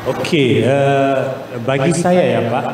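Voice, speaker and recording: A man from Malaysia with a Malaysian accent gives explanatory interview answers as a business guest, pausing and searching for words. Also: mid-range voice, a little mellow. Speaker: business guest, investor and trade promoter. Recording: echoey, distant mic with faint noise.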